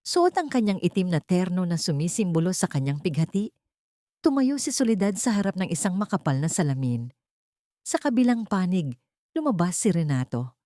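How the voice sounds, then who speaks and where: A woman's voice: lowish voice, very animated, moderate pace, mellow, no echo, clean studio sound. A woman reading a scripted audio story as a dramatic narrator.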